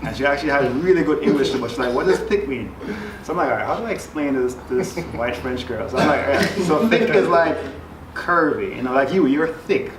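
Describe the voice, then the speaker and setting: A man from Jamaica, a guest in a group talk, tells a story in a laid-back way and laughs easily. His voice sits at a middle pitch. It sounds roomy and a bit distant, with audible background noise. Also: expressive, a little fast. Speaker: panel guest. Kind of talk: casual storytelling in a group chat.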